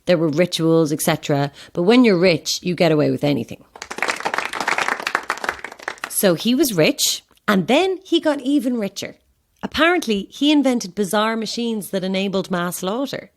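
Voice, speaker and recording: A woman's voice: medium pitch, lively intonation, normal speed, a faint crowd, close to an everyday voice. Wry, curious Irish woman tourist, acted. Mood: intrigued, reading. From Ireland. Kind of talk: naturalistic audio horror drama dialogue.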